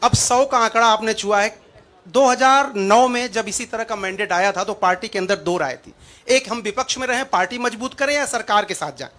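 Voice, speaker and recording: A man's voice: high-pitched, fairly quick, fairly bright tone. A man working as a reporter, putting long questions to a politician at a press conference. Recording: crowded press room chatter behind.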